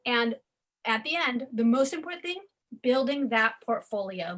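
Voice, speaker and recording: Woman host, kinda high voice, hyping up the program. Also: a bit bright. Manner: enthusiastic, promotional. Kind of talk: college program interview.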